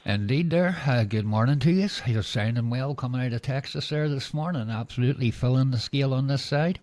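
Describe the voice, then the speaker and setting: A Northern Irish man talks over a ham radio link with a mellow, dark tone. His voice is close and dry, without room echo. He speaks in a measured way, with lots of "uh" and "indeed there". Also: mid-range voice. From Northern Ireland. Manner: measured, filler-heavy, signs off with "go ahead". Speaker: radio operator. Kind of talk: casual radio chat.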